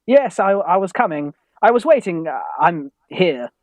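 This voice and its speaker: An actor playing a timid young Victorian gentleman in a radio farce, with a British accent and a hesitant, plaintive manner. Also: high-pitched, big pitch swings, normal speed, dry recording. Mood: anxious, sheepish. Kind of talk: stage farce acting for radio play.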